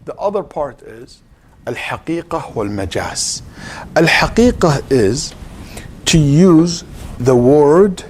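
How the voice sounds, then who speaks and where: A man's voice: a little high, expressive, slow. A man with an Arabic accent teaches an interactive religious lesson as an Islamic lecturer, explaining didactically and checking understanding with questions. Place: live class at an Islamic center. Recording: clearly noisy live room recording.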